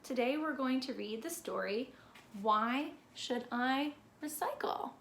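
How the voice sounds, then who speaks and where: Woman, high voice, slow expressive children's read-aloud. Roomy sound. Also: gravelly. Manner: expressive, deliberate delivery for young listeners. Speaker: teacher reading a picture book aloud. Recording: roomy echo with background noise.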